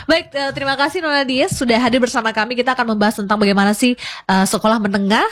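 A woman's voice: a little high, racing, fairly bright tone. A woman hosting a live radio talk show, chatty and effusive, with exclamations and giggles. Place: radio studio.

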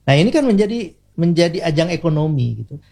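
An Indonesian man speaks as an expert guest in an interview. His voice is slightly high, with a soft, mellow tone and plenty of gravelly creak. He explains in a teacherly way, setting out points and adding small tag words as he goes. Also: sing-song, brisk. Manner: didactic, enumerating points, often adds short tag particles.

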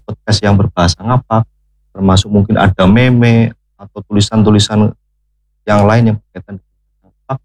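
A man speaking as a guest on a radio talk show, measured and earnest with pauses. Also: lowish voice, a little mellow, dry recording, muffled recording. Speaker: cultural guest. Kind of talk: studio talk-show discussion. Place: radio studio.

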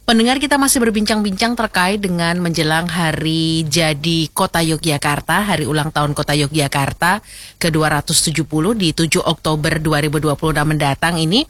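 A woman's voice: low-pitched, a little fast, a bit bright, no echo. A woman hosting a radio talk programme, summing up and addressing listeners from a studio microphone. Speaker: radio host.

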